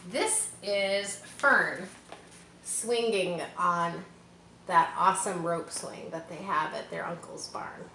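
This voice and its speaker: Bright-voiced woman reading a storybook aloud. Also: on the low side, slow pace, roomy sound, a little hiss or hum, low-quality recording. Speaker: storybook reader. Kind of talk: expressive read-aloud narration for children with casual asides.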